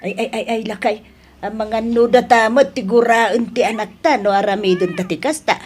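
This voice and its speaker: A woman's voice: a little high, moderate pace, a little mellow, a little hiss or hum, lightly acted. A woman with a Filipino accent playing an anxious older mother and wife in an audio drama. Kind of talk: Ilocano radio drama acting.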